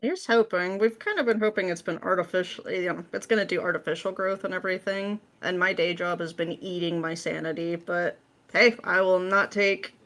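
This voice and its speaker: A woman's voice: fairly low pitch, a little fast, a bit bright. A woman speaking as an interview guest in an informal, wry, conversational way. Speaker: podcast guest, script writer. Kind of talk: casual interview chat.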